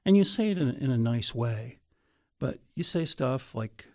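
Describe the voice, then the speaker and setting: A man's voice: mid-range voice, animated, measured pace, darkish tone, no room sound. Folksy man interviewing, close and dry. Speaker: radio interviewer. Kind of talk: conversational book interview. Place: radio studio, in person.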